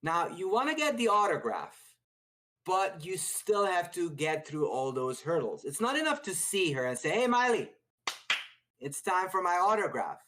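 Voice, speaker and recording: A man with a very animated, swinging delivery explains chess. He is playful and emphatic, talking as if telling an anecdote. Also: sits high, moderate pace. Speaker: chess commentator.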